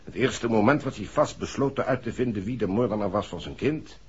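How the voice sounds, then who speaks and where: A man's voice: lowish voice, fairly quick, breathy edge. An actor playing a hesitant, apologetic male visitor to a detective in a 1960s Dutch radio drama. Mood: uneasy, apologetic. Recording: dull, muffled 1960s radio recording.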